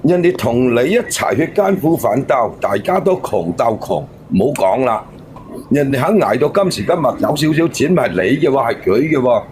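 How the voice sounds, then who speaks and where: A man's voice: medium pitch, big pitch swings, normal speed, darkish tone. A man, argumentative and scolding, giving his opinions in a phone-in debate. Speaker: caller giving commentary. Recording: faint noise and ambience behind.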